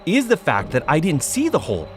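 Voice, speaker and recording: An actor playing an earnest, troubled paranormal investigator who narrates a scripted podcast in a low-key, conversational way. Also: male voice, huge pitch swings, fairly quick, soft background music. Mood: haunted, guilty. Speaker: host and narrator.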